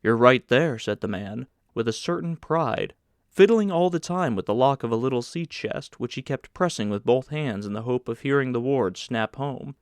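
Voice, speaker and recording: A man's voice: mid-range voice, expressive, normal speed, a little mellow, clear, resonant tone, dry recording, studio quality. A man reading scripted literary narration as an audiobook narrator.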